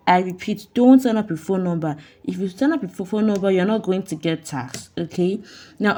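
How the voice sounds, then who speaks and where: A woman's voice: mid-range voice, a little mellow. A Nigerian woman talking viewers through an app step by step, repeating her warnings with insistent emphasis. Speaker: online earning tutorial host. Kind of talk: step-by-step app tutorial voice-over.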